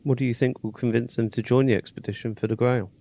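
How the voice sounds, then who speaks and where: An actor playing the player hero in a game, asking plain, direct questions. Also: male voice, medium pitch, steady tone, fast talker, dark tone, lightly acted. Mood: inquiring. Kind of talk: voiced game dialogue.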